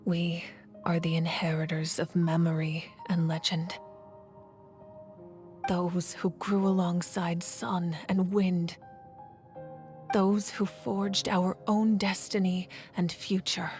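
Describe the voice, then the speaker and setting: Proud female warrior leader: slow, measured, solemn game narration, slightly low, faint music behind. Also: flat delivery, a little mellow. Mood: solemn, stirring. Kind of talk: dramatic scripted narration.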